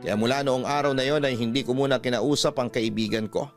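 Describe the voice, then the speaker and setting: A man's voice: mid-range voice, brisk, soft background music. A man narrating a scripted first-person story as a radio drama host. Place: dry studio with music behind.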